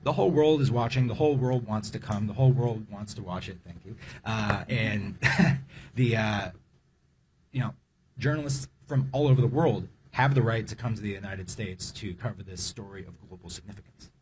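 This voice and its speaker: A man with a low voice makes an emphatic statement at a news conference, building his point by repeating key phrases. A faint hiss sits underneath his voice. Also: normal speed. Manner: firm, emphatic, repeats phrases for rhetorical effect.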